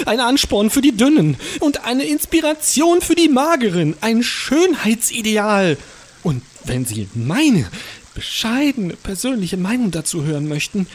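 An overeager, polite cannibal clerk for a comic radio play. His voice is husky and swoops up and down with excitement. He fawns and gushes, stumbling over his words in awe. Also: high voice, heavily acted. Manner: gushing, effusive, stammering with awe. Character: eager, fawning, polite male cannibal who is an office worker. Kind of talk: comic audio drama acting.

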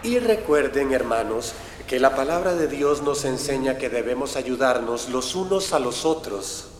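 An actor playing a sanctimonious, deceitful pastor with a preacherly manner, in a radio drama. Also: male voice, medium pitch, lively intonation, unhurried, close to an everyday voice. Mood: pious preaching. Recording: echoey church hall, faint noise.

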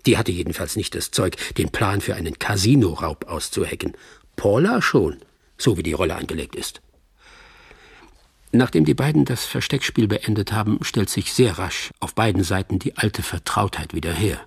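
An actor playing a wry, analytical man commenting on a script in a radio drama, with a gravelly, husky voice. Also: low voice, expressive, normal speed, dry recording, clean studio sound, exaggerated voice. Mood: wry, sceptical. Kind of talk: radio drama monologue, explanatory.

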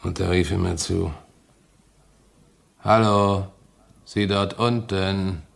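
A grave, shaken train driver in a 1950s radio play. He speaks slowly and solemnly in a low, gravelly voice. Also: steady tone, heavily acted. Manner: solemn, deliberate. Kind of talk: 1950s radio drama acting.

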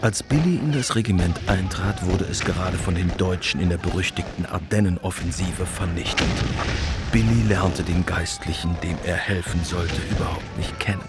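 A man narrating a literary radio drama in a measured, dry storytelling manner. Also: low-pitched. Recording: prominent music behind the voice.

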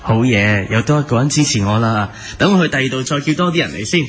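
A man's voice: mid-range voice, lively intonation, quick pace, over music, lightly acted. A man with a Hong Kong Cantonese accent playing an eager, insistent eccentric who believes in aliens, in a radio comedy drama. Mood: eager, coaxing.

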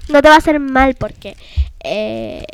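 Woman playing a scared, high-voiced excitable girl. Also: unhurried, dry recording. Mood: coaxing. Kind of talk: improvised radio theatre. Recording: noisy room recording with clicks.

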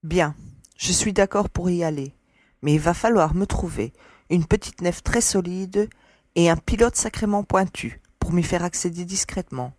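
A self-assured young woman agent played by an actress in a science fiction audio drama. She is direct and frank, with a fairly low pitch and a dry, close sound. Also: normal speed, a little mellow, no room sound, lightly acted. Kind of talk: French audio drama acting.

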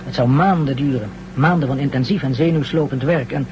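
An actor in a Dutch radio drama playing a worried technical expert, emphatic and sceptical. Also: male voice, medium pitch, brisk, natural voice. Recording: muffled, noisy old radio drama recording.

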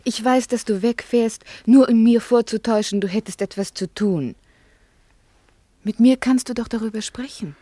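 A woman plays a doctor's wife in a radio drama. She is earnest and worried, pleading in a measured, gently insistent way. The recording is dry. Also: fairly high pitch, no echo, natural voice. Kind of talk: German radio play acting.

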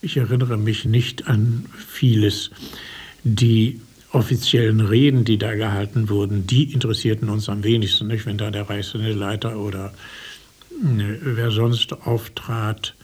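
Husky, even-toned older man. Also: lowish voice, a little slow. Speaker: interviewed eyewitness. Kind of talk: spoken recollection in an interview.

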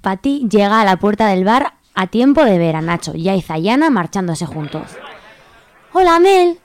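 A woman's voice: mid-range voice, moderate pace. A woman reading scripted narration as the narrator of a radio soap.

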